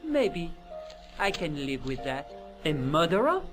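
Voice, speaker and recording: An actor playing a stubborn, formally polite French hotel clerk in a video game, with a French accent and a dry, deferential manner. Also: male voice, on the high side, very animated, a little slow, music in the background. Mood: stiffly polite.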